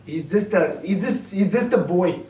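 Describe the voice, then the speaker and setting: A man in his late twenties with a Cape Town South African accent, giving a personal testimony in a preaching, storytelling way, in deliberate phrases with repetition. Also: high-pitched, animated, warm tone. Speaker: guest speaker giving testimony. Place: indoor room with small seated audience. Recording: roomy, distant camera mic with noise.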